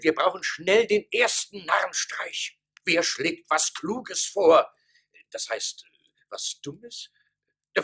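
A flustered village mayor from a radio play, chairing his council. He speaks very slowly with a husky edge and wildly swinging pitch, hesitating theatrically. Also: a bit bright, exaggerated voice. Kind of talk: German radio play acting.

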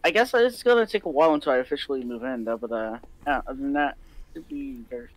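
A man's voice: medium pitch, lively intonation, fast, natural voice, poor audio. A man playing an easygoing young newcomer to town in an improvised Minecraft roleplay, answering casually and hesitantly. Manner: hesitant, brief casual replies. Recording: music behind the voice.

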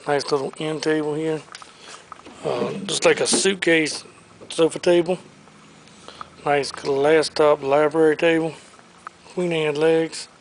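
A man's voice: on the high side, steady tone, slight background noise. A man previewing auction items, listing furniture and collectibles one after another as he walks through a large warehouse showroom, recorded on a handheld camera. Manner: listing items briskly, upbeat salesman patter.

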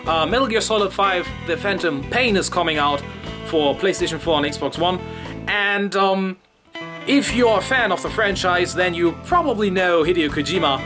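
A young man in his twenties with a German accent, earnestly talking through a game theory from his bedroom, with a few filler pauses. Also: high voice, expressive, over music. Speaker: YouTuber. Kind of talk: game theory video commentary. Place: small bedroom with shelves, indoors.

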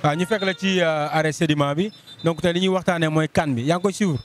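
A man with a Senegalese accent, a street reporter asking passersby quick questions on a handheld mic by a busy road. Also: on the high side, steady tone, a little hiss or hum. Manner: brisk questions with frequent hums of assent. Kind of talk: street vox pop interview. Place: busy roadside outdoors.

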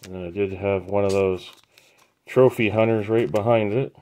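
A man hosting a trading card break, casually naming each card as he opens the packs in an unhurried, matter-of-fact way. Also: on the low side, fairly even intonation, dark tone. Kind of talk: casual pack-opening commentary.

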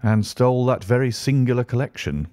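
A man voicing a keen, thoughtful gentleman detective, measured and precise, in an audiobook story. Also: medium pitch, animated, no room sound, voice pushed up, lightly acted. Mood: curious.

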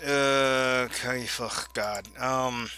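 A slightly hoarse young man commenting on a game he is playing, goofy and mock-exasperated, swearing now and then. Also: medium pitch, steady tone, drawn-out words, pushed and bright, faint music behind. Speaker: gaming YouTuber. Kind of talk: let's play commentary.

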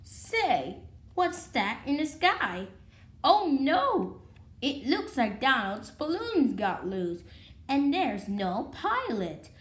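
High-pitched, animated woman reading slowly. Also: echoey room. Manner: stumbles over words and restarts. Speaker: read-along presenter. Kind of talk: reading a children's picture book aloud. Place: indoor room at home with wooden floor.

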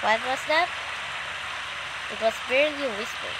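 A woman reading a visual novel aloud as a gamer, expressively, with excited asides. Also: high voice, moderate pace, very noisy. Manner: expressive, dramatized reading with exclamations. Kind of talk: let's play narration with excited asides. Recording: loud music behind the voice. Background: loud game music.

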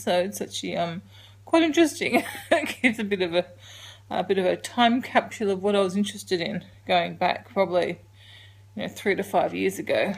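Husky Australian woman vlogging from her couch. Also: mid-range voice. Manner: rambling, chatty, trails off with soft ums. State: congested, getting a cold. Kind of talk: casual daily vlog. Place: living room couch at home. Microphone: handheld camera held close.

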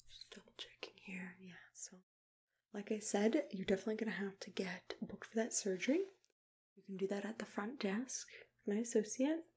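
A young woman doing an ASMR roleplay as a caring dentist, mostly whispering in a gentle, reassuring way, close to the microphone. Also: medium pitch, brisk. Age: young adult. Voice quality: breathy, hushed, mostly whispered. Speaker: ASMR creator playing a dentist.

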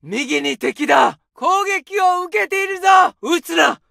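An actor playing a young male Japanese infantry soldier, barking orders and battle shouts as game callouts. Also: very high voice, expressive, unhurried, gravelly, dry recording, clean studio sound, exaggerated voice. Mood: alarmed shouting. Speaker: soldier voice lines.

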